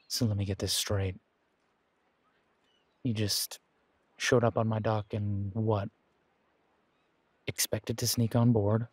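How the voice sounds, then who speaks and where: Man acting as a pirate captain, low husky voice, wry and threatening, suspicious, drawn-out taunting delivery, audio roleplay. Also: no echo, heavily acted. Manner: slow, taunting, deliberate drawl with dramatic pauses.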